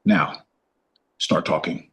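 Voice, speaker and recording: A man's voice: slow, a bit bright. A man playing a grim, vengeful interrogator in his twenties in a crime drama read, clipped and threatening. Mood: controlled threat. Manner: low, clipped, threatening delivery.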